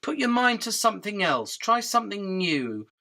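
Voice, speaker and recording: Actor doing a chatty little fly, mid-pitched n bright, pedantic, kind of philosophical and resigned, radio play. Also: very animated, normal speed. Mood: exasperated. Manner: wry, pedantic, philosophical rambling. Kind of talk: comic radio drama acting.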